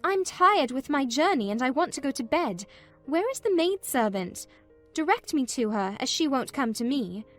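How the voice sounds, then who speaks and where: A woman voicing a despairing, proud and weary young gentlewoman who tells her story expressively in a dramatized novel reading. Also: high voice, very animated, normal speed, faint music behind, pitched above the performer's own voice. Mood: weary, demanding. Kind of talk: dramatized audiobook narration of a classic novel, in first person.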